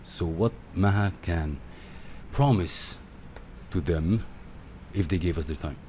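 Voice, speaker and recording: A man's voice: sits low, takes it slowly, slight background noise. A man hosting a talk-show interview, effusive and given to repeating his praise. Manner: effusive, repeats himself warmly.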